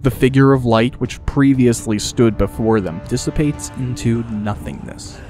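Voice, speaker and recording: A man with a mid-pitched voice reads scripted fantasy narration as an audiobook storyteller. Music plays clearly beneath his dramatic delivery. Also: moderate pace, darkish tone.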